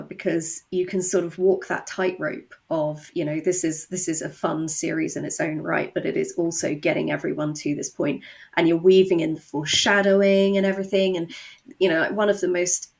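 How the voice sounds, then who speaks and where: A woman with a fairly low voice and noticeable vocal fry speaks as a podcast guest. She talks in an informal, conversational way about her work. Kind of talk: conversational interview.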